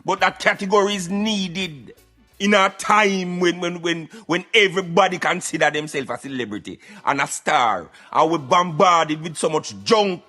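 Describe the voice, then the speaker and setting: A man acts as an opinionated Jamaican Rasta-style commentator with a high, forceful, bright voice. He lectures emphatically in patois, repeating words as he drives points home, over a light music bed. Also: normal speed. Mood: reasoning. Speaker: radio show commentator. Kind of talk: satirical social commentary in patois.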